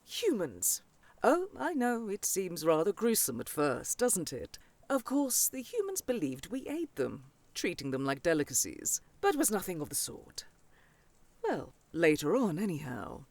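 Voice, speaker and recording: A woman's voice: lowish voice, huge pitch swings, measured pace, a little mellow, no room sound, full character voice. A woman playing a proud older dragonfolk scholar in a fantasy audio drama, grandly formal and haughty. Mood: wry, knowing.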